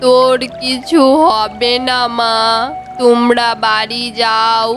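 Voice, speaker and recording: A woman's voice: on the high side, flat delivery, takes it slowly. A woman voicing a caring, worried fairy mother trapped as a frog in a children's cartoon, faint music behind. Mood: worried, motherly.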